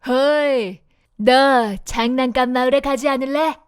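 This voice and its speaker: A woman's voice: sits high, takes it slowly, clear, resonant tone, dry recording, clean studio sound. A woman voicing a sly, wicked male coachman who coaxes like a storybook villain. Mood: coaxing.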